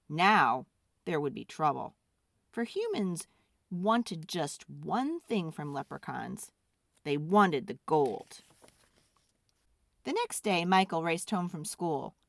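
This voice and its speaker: Children's read-aloud narrator: woman, highly varied intonation, expressive and deliberate, dry close recording. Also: no echo. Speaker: storyteller.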